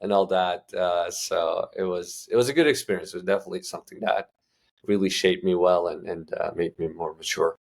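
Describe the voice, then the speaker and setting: A man's voice: low voice, steady tone, fairly quick, gravelly. A young man from Uzbekistan, a guest answering interview questions in a loose, casual way with lots of fillers and restarts. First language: Russian. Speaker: guest entrepreneur.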